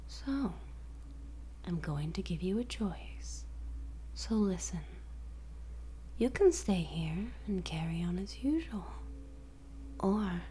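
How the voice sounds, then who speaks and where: A smug, playful female mind controller, played by a young woman in an audio roleplay. She whispers her teasing schemes in a slow, unhurried way. Her tone is mellow and dark. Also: medium pitch, slight background noise.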